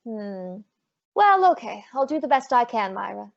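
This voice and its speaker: Woman as wisecracking female private eye, slightly high pitch, old radio drama delivery, dull lo-fi recording. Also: big pitch swings, a little slow, creaky, full character voice. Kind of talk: old-time radio detective acting and narration. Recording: dull lo-fi audio.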